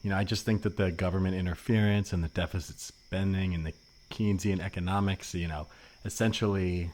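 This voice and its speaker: A man's voice: deep voice, fairly even intonation, a little fast, darkish tone, close to an everyday voice. A man playing an earnest, wonkish libertarian in improvised comedy, explaining things in a pedantic manner. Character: earnest, wonkish anarcho-capitalist reading economics on park bench. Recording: noisy remote recording.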